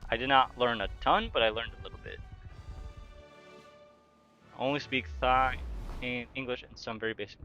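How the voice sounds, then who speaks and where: A man's voice: mid-range voice, pushed and bright, lo-fi sound. A young man livestreaming a game, chatting casually, reading out chat questions and answering them while he talks through his play. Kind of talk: casual live-stream chat while gaming.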